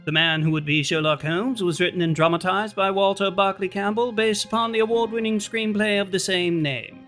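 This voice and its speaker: A man working as a radio drama announcer, reading a formal, measured scripted sign-off. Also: high-pitched, a bit bright, faint music behind.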